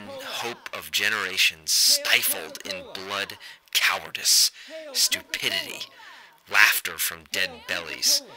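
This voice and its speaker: A man acts out a world-weary, disgusted intellectual in a radio drama. His bitter, portentous monologue comes very slowly, in a low voice with a hard, forceful edge. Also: heavily acted, low-quality recording. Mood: bitter contempt.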